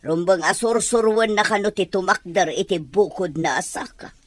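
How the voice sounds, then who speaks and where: A woman's voice: mid-range voice, moderate pace, no echo, close to an everyday voice. Woman acting worried mother, close dry sound. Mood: resigned, concerned. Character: protective, worrying mother and wife. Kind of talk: radio drama acting.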